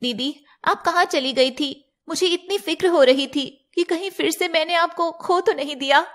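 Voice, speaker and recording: A woman voicing an affectionate, teasing younger sister in an animated fairy tale. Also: extremely high pitch, normal speed. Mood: worried.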